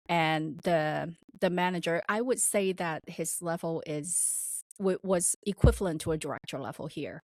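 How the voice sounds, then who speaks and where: Podcast co-host, woman, slight accent, lowish voice, dry close sound, lively anecdote teller. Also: no room sound. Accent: slight non-native accent. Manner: animated storytelling with laughs and acted-out quotes. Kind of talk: casual storytelling chat.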